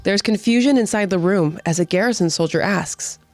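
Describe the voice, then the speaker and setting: A woman's voice: medium pitch, brisk, darkish tone, no echo. A woman with a slightly nasal voice, co-hosting and narrating on a podcast. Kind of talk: casual chat and scripted narration. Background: background music.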